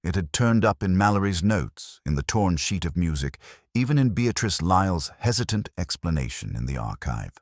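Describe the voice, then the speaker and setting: Very deep-voiced male story narrator. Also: sing-song, no echo, clean studio sound. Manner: literary, measured, brooding. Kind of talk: scripted first-person detective story narration.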